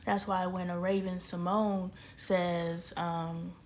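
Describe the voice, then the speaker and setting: A woman's voice: lowish voice, flat delivery, takes it slowly, a little hiss or hum. Passionate woman from Texas, musing aloud. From El Paso, Texas. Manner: passionate, rhetorical questions, drops to near-whisper at times. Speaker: vlogger. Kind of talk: casual spiritual commentary monologue.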